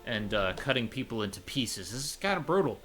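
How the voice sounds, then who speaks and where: A man streaming a visual novel, reading its story aloud and reacting in a chatty, expressive way. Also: mid-range voice, a little fast, soft background music. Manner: chatty, expressive, swears casually. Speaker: let's play streamer.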